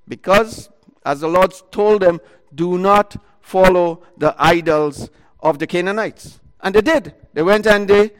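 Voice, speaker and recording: A man preaching a sermon into a microphone before an audience, with a loud voice and talk broken by fillers and restarts. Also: high voice, big-room sound, low-quality recording. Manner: loud voice, talks in bursts with many fillers and restarts. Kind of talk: sermon retelling a Bible story.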